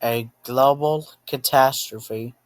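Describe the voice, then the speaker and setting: A man narrating a scripted emergency alert as an announcer, halting between short phrases and often repeating himself to rephrase. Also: mid-range voice, fairly even intonation, no room sound.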